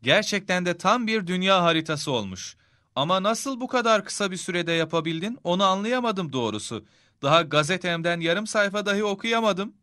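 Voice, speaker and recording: A man voicing an indulgent father of a small boy in a children's cartoon, expressive and theatrical. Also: sits high, moderate pace, dry recording, studio quality. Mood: amazed, proud.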